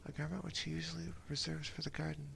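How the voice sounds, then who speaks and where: A man reading a story aloud as ASMR in a soft, hushed, unhurried whisper, close to a binaural microphone. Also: fairly high pitch, little pitch movement, gravelly, slight background noise. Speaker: ASMR streamer.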